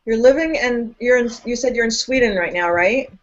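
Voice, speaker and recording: A woman hosting an online interview, playful and excitable, repeating words as she gathers her thoughts. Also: a little high, fairly quick, highs cut off. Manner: playful, excitable, repeats herself when thinking. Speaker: host. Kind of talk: casual interview chat.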